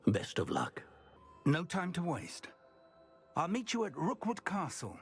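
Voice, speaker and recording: A man playing a serious schoolmaster wizard in a video game, formal and measured, with a husky, creaky voice. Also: sits high, wildly swooping pitch, faint music behind, full character voice.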